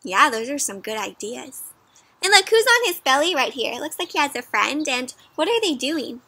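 A woman in her twenties reads aloud to young children in a high, very bright voice. Her delivery is animated and sing-song, and she keeps turning to her young listeners with questions as she goes. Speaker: children's storybook reader and host. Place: outdoors in a leafy yard.

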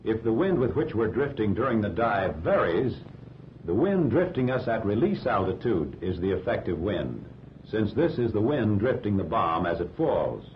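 An American man reading scripted instructional narration for a 1940s training film in a measured, didactic way. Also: big pitch swings. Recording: dull 1940s film soundtrack, rumbling noise.

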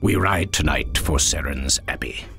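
An actor playing a ruthless, scheming lord in a video game cutscene, speaking in a persuasive, commanding way. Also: male voice, very deep voice, measured pace, creaky, sharp tone, soft background music, exaggerated voice.

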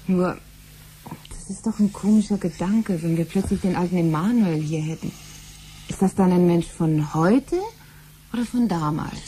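An actress playing a doubtful, reluctant wife in a 1970s radio play, musing and hesitant. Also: female voice, lowish voice, moderate pace, mellow, slight background noise, lightly acted. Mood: doubtful, resigned.

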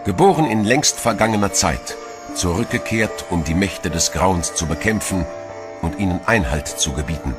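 A man's voice: low-pitched, animated, normal speed. A man announcing solemnly and portentously, as in the scripted intro of a horror series. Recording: music behind the voice.

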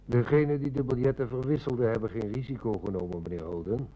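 Man playing a mellow, methodical older police inspector. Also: mid-range voice, lightly acted, low-quality recording. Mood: methodical, probing. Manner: measured, methodical, polite questioning. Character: shrewd, methodical police inspector near retirement. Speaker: actor in a radio play. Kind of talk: Dutch radio play acting.

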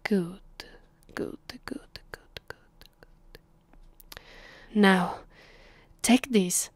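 A woman with an Italian accent playing a haughty, teasing ancient vampire mistress in a soft, half-whispered ASMR roleplay, condescending and commanding. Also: medium pitch, very slow, no room sound. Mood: impatient, commanding. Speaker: voice actress. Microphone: close, dry microphone.

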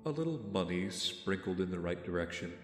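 A man playing a guarded young billionaire in an audio drama, speaking in a measured, formal way. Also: deep voice, lively intonation. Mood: brooding. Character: brooding, guarded young billionaire with a secret mission. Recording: roomy reverb, faint music behind.

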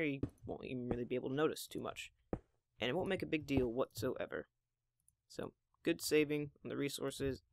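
A hoarse teenage boy in his mid-teens, with occasional voice cracks, chatting casually while playing a building game at home. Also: medium pitch, a little hiss or hum. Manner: rambling, chatty, self-deprecating. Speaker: Minecraft gameplay channel host. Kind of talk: casual gameplay commentary.

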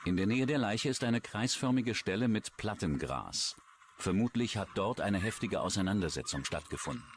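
A man's voice: lowish voice, expressive, moderate pace, background noise. A man reading scripted true-crime narration as a documentary narrator.

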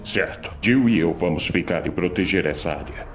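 A man's voice: sits low, normal speed, faint music behind, close to an everyday voice. A man playing a commanding police captain in a horror game fan dub. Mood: firm, composed.

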